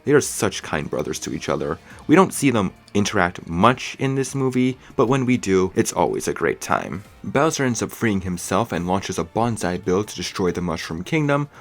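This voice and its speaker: A man's voice: low-pitched, very animated, moderate pace, hardly any pauses. A man giving opinionated film review commentary as a video host, stretching key words for emphasis. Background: music under the voice.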